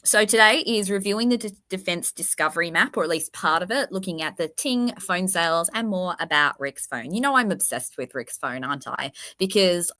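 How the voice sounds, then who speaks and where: A woman hosting a true crime livestream in a casual, chatty way. Her voice is croaky from a virus, and she sounds enthusiastic and self-deprecating. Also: lowish voice, animated, fairly quick, hardly any pauses, pushed and bright, no echo, clean studio sound. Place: at her workplace, indoors.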